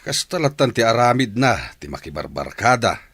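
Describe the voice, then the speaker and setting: Husky, weary family father. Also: mid-range voice, very animated, moderate pace, fairly bright tone, no echo. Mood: weary disappointment. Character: weary older brother, disappointed father of a wayward daughter. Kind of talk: radio drama acting.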